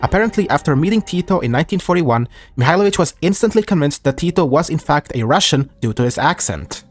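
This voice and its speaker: A man reading scripted history narration in a measured way, quoting documents aloud. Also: fairly high pitch, expressive.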